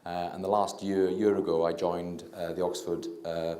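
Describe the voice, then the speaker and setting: A middle-aged Welsh man, a physician giving a public talk into a handheld mic, hesitant with many fillers and restarts, and a self-described low talker. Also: flat delivery, normal speed, mellow. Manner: low-talking. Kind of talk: public lecture. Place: lecture room with audience. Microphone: handheld microphone. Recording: echoey lecture room.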